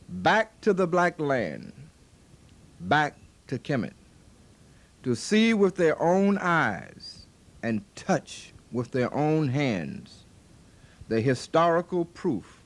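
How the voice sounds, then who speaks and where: Man giving a slow, solemn introduction. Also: on the high side. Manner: solemn, measured, deliberate. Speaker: event host. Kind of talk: formal tribute introduction.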